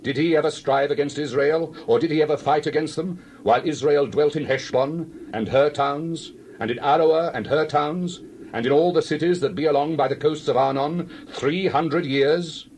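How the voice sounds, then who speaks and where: An actor playing a stern, righteous Hebrew leader, declaiming deliberately in a dramatized Bible reading. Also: male voice, on the high side, a little mellow, slight background noise, natural voice, poor audio. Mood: indignant, challenging.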